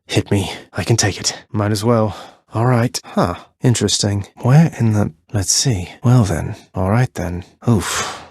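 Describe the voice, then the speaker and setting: A man's voice: lowish voice, a little slow, a little mellow, breathy edge, no echo. A male actor playing a wry, confident young underworld prince in a video game, with a dry, understated, cocky way of speaking. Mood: dry, brisk. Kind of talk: short in-game voice lines.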